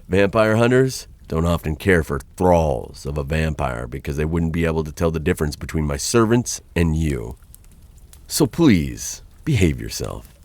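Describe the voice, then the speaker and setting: A very deep, close and dry male vampire voice, acted by a man for an ASMR roleplay. He is a dangerous captor with an awkward kindness, easily flustered and prone to stammering. His intonation rises and falls in a lively way as he talks to his human. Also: no room sound, exaggerated voice. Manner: hesitant, stammering, conversational.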